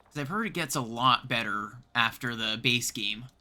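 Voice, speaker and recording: A man's voice: mid-range voice, normal speed, bright, forceful tone. A man from Canada livestreaming a game, chatting casually with viewers as he plays. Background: game music.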